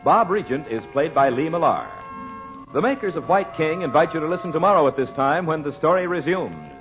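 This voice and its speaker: A muffled vintage broadcast with faint music behind a male announcer. He delivers scripted sponsor copy in a polished, deliberate 1940s style, his pitch rising and falling in an animated way. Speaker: radio announcer.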